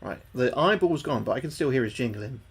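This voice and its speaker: Young guy streaming a game, talks to chat, swears a lot, kinda raspy and breathy. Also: mid-range voice, expressive, fairly quick. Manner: sweary, thinks aloud, repeats himself. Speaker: livestreaming gamer. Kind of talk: casual gameplay commentary to chat.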